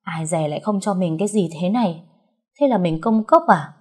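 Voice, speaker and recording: Lady narrator doing a cheeky young con-artist fortune teller girl, kinda husky, boastful storybook trickster. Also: on the low side, expressive, moderate pace, a little mellow. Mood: grumbling. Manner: boastful, colloquial, wheedling.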